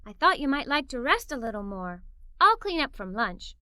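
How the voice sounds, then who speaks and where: A woman voicing an eager, helpful, playful little girl in a children's storybook, chirpy and expressive. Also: high-pitched, normal speed, smooth, no echo, clean studio sound, full character voice. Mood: reassuring. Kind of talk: children's storybook read-aloud.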